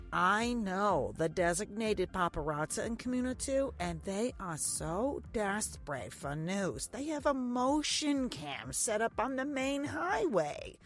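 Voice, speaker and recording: A woman playing a gushing, doting yet imperious mother in a science fiction audio drama, with theatrical, cajoling delivery. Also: fairly high pitch. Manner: theatrical, effusive, cajoling with endearments. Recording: music under the voice.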